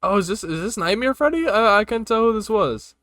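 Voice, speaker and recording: Bright-voiced young man, casual reactor. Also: high-pitched, animated, brisk, no room sound, clean studio sound. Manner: slangy, amused. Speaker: reaction video host.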